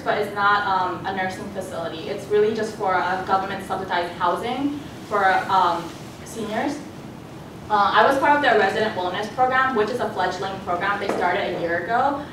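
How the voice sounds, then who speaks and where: A young woman whose first language is Cantonese, giving a student presentation in a conversational way with fillers and restarts. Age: young adult. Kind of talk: presentation talk. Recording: echoey hall, voice from a distance, background rumble.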